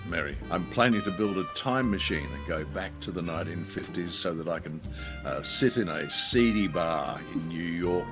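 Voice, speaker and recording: A man's voice: low-pitched, expressive, normal speed, music in the background. A man chatting casually and jokily as co-host of a review show, fond of playful wordplay.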